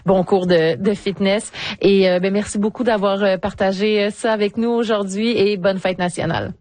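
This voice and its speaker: Woman radio host, casual chatty, mid pitch, dry close sound. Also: steady tone, normal speed, darkish tone, dry recording.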